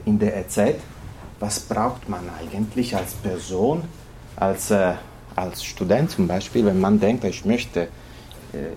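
A man from Italy with an Italian accent, presenting an informal lecture in a halting way, searching for words in a language not his native one. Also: lowish voice, dark tone, slight background noise.

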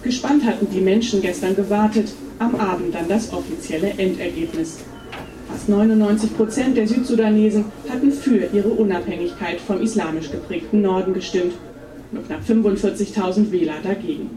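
A woman's voice: mid-range voice, brisk, background noise. Echoey, distant woman reading a news report. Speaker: news correspondent. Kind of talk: scripted radio news report. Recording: distant, echoey playback with crowd behind.

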